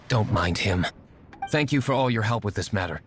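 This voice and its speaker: A man's voice: fairly low pitch, animated, unhurried, a bit bright, soft background music. An actor playing a curt, aloof young nobleman and secret vigilante in a video game, speaking drily and formally. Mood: grateful. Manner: dry, formal, terse.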